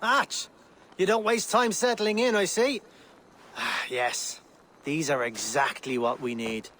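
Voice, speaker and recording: An actor playing a brisk, approving trading post worker in a video game, with a hearty, businesslike manner. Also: male voice, a little high, steady tone, sharp tone. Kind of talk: video game character dialogue.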